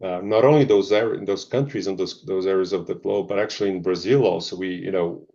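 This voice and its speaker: A middle-aged man, answering interview questions thoughtfully and pausing often with ums and restarts. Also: low voice, creaky, warm tone. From Zimbabwe, Portuguese family. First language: Portuguese. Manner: hesitant, many ums and restarts. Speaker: pharma executive guest. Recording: dull lo-fi audio.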